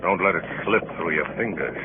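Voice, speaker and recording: An actor playing a huge, pompous rogue agitator posing as a missionary, theatrical and oratorical, in an old-time radio drama. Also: male voice, low-pitched, brisk, lightly acted. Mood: urgent, menacing. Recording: scratchy, noisy, tinny old radio broadcast.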